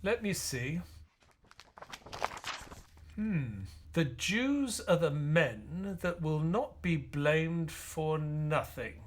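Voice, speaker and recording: An earnest Victorian gentleman doctor in an audio drama. He speaks slowly and dramatically in a somewhat high, animated voice, with clear background noise around him. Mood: curious. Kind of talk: audio play acting with dramatic narration.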